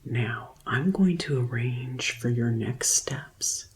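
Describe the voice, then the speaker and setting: A woman doing a soft-spoken, often whispered ASMR roleplay as a gentle, deliberate receptionist attending to a customer. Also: a bass voice, poor audio. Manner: slow, gentle, deliberate customer-service delivery. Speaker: ASMR performer playing a vampire conversion receptionist.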